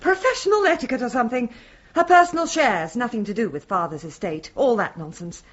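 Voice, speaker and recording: A bossy, sharp-tongued middle-aged Englishwoman with a husky, highish voice. Her upper-middle-class delivery is animated and exasperated, in a radio play with dulled sound. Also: fairly quick. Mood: indignant. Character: indignant, scornful, bossy middle-aged daughter. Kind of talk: British radio drama acting. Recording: muffled vintage radio recording.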